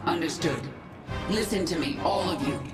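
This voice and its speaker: A woman's voice: lowish voice, normal speed, natural voice. An actress playing a vengeful, resolute alien swarm queen in a video game, with dramatic, commanding delivery. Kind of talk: video game cinematic acting. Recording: echoey voice with loud music.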